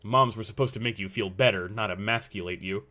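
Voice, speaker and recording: A man's voice: on the low side, fairly quick, pushed and bright. A man reading scripted story narration as the narrator of a fan fiction audio drama.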